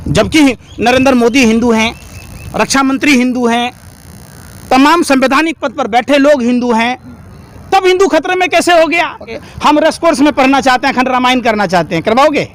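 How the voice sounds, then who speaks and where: A man's voice: very high-pitched, a bit bright. A man speaking in a heated political argument, combative and indignant, with emphatic repetition and rhetorical questions.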